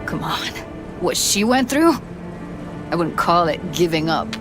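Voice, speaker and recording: Grieving tough young woman, low-voiced. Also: expressive, measured pace. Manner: slangy, clipped, weary phrasing. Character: grieving young woman, tough, bitter, guarded tech expert. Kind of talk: naturalistic video game acting. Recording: game dialogue under clear background music.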